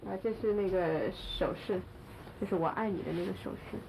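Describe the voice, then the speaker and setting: Fast-talking woman interpreter, gravelly. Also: medium pitch, background noise. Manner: quick, repetitive rephrasing. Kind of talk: conversational interpreting.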